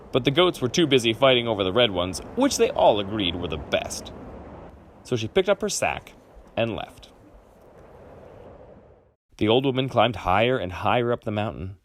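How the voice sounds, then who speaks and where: Very animated man reading a storybook. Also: medium pitch, slight background noise. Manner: animated, with dramatic pauses. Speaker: children's book reader. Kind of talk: expressive storybook read-aloud.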